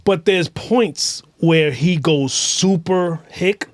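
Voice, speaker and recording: A man's voice: a little high, takes it slowly, no room sound. A man hosting an interview in an informal, slangy, supportive way. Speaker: interview host. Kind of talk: casual conversational interview, slangy.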